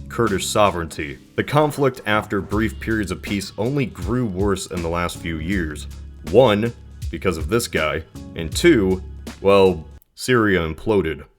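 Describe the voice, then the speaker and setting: A man narrating a scripted explainer video, with dry emphatic phrasing and conversational asides. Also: deep voice.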